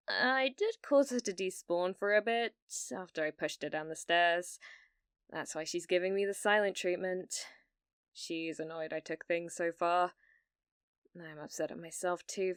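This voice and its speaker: A British woman playing a needy, delusional young gamer in an ASMR roleplay, hesitant and stammering, blithely casual about dark things. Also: medium pitch, clear, resonant tone, dry recording, clean studio sound, close to an everyday voice. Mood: regretful, detached.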